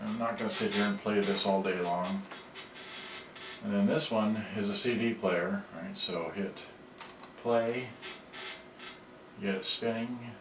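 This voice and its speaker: Loud noise surrounds a man recorded from a distance in an echoey room. His voice is on the low side. He talks casually and haltingly, muttering to himself as he demonstrates equipment. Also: steady tone. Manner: halting, muttering, thinking aloud while handling gear. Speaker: repairer demonstrating cassette players. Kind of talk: casual unscripted product demonstration. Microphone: camera on tripod at some distance. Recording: roomy, distant mic, loud background noise.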